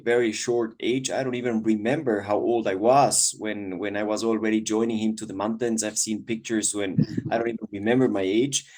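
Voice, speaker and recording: A man from Ecuador, telling his story as an interview guest, grateful and warm, often pausing on "uh" and repeating words. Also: fairly low pitch.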